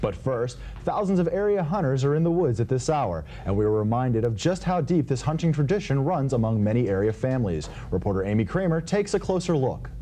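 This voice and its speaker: A man's voice: mid-range voice, sing-song, fairly quick, hardly any pauses, dark tone, slight background noise. A young man anchoring a student newscast with a scripted read. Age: young adult.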